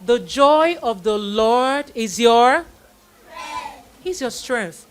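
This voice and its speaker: A woman's voice: a little high, expressive, drawn-out words. A woman with a Nigerian accent hosting a live children's event, telling stories in an exclamatory way with acted-out quotes. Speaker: children's Bible story host.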